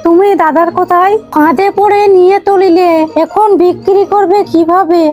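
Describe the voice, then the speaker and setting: A woman voicing a worried, scolding village wife in an animated folk tale, with a nagging, questioning way of talking. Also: very high voice, moderate pace, lo-fi sound, faint music behind.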